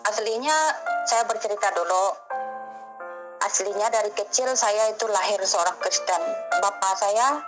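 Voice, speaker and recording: A woman's voice: medium pitch, rough recording. Woman telling her story. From Medan, Indonesia. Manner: slow, hesitant storytelling. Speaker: migrant worker giving a testimony. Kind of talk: personal testimony. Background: music.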